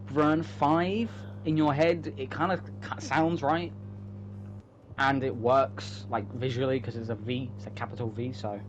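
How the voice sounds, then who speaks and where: A man's voice: mid-range voice, fairly even intonation, a little slow. A man chatting casually as the author at a table read of his own story, explaining it in rambling asides. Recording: muffled lo-fi mic, loud background hum.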